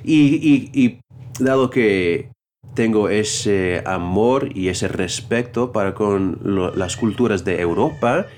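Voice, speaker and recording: A man with a lowish voice talks in a relaxed conversation. He speaks hesitantly, often stopping to restart and hunt for the right word. Faint noise can be heard behind him. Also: takes it slowly. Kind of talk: casual conversation.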